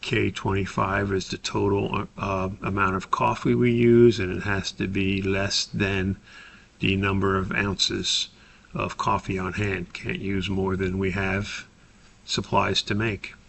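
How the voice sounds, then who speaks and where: A man giving a methodical, step-by-step software tutorial as an instructor, explaining in a somewhat hesitant way. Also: deep voice, little pitch movement, slow, creaky, slight background noise.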